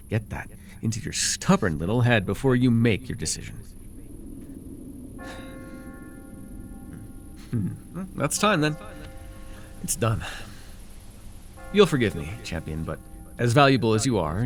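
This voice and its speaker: A man playing a scheming, possessive dark sorcerer ruler in a fantasy roleplay, speaking theatrically and condescendingly with sighs and deliberate pauses. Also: on the low side, sing-song, faint music behind. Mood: quiet menace. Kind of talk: immersive ASMR fantasy roleplay acting.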